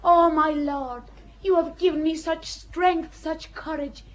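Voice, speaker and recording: A woman's voice: sits high, fairly even intonation, slow. A woman playing Joan, a bold peasant girl. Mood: reverent. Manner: blunt rustic speech, fervent. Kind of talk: audio drama acting. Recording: dull audio with faint hiss.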